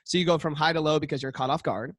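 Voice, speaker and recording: A man co-hosting a casual chat podcast, talking quickly with lots of "like" and "you know what I mean.". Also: a little high, fairly even intonation, fairly bright tone, no room sound, clean studio sound. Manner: talks fast, says he hurries to get through a point. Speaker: podcast co-host.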